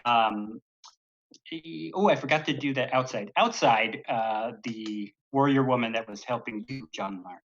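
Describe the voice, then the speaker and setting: A man running a tabletop game as dungeon master, talking in a rambling way full of fillers and small laughs. His voice is bright and forward, at a middling pitch. The stream audio sounds slightly dull and lo-fi. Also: a little slow. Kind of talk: narrating a tabletop game livestream.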